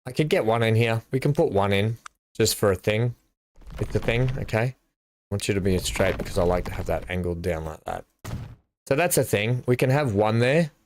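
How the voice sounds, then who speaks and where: A man's voice: lowish voice, moderate pace, a little hiss or hum. A man streaming a building game, casually thinking aloud as he places things. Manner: thinks aloud, relaxed, mumbles placement choices. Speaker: gaming streamer. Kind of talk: casual live-stream commentary while building.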